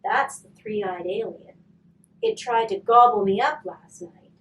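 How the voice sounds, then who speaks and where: A woman's voice: mid-range voice, measured pace, close to an everyday voice. A woman voicing a moody, sulky young boy with emphatic complaining in a children's storybook. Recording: roomy, distant mic, faint noise.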